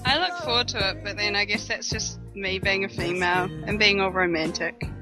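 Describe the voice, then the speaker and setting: A woman in her twenties, a podcast guest speaking softly and sweetly. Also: medium pitch, fairly quick, over music. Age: 20s. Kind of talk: casual group chat.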